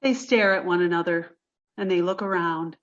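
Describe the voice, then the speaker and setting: A woman's voice: fairly low pitch, normal speed, dull audio. A woman reading stage directions as the narrator of a play, in scripted narration.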